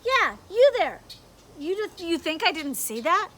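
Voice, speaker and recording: A woman's voice: very high voice, very animated, a little slow, fairly bright tone, slight background noise, heavily acted. A woman voicing a stern, mocking conscience that scolds and interrogates, in a storybook read-aloud. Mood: indignant. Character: scolding, bossy conscience, a voice inside the head.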